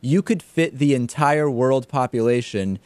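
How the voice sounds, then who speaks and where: Male American talk host, mid-range voice, measured and articulate commentary, close dry recording. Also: mid-range voice, darkish tone, dry recording. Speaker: talk show host and interviewer. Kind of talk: conversational political commentary.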